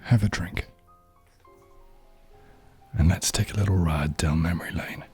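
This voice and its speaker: An actor playing a cynical, hard-boiled male detective in an ASMR noir drama, speaking in a slow, brooding half-whisper. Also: a little mellow, soft background music. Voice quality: very deep, husky, low murmur.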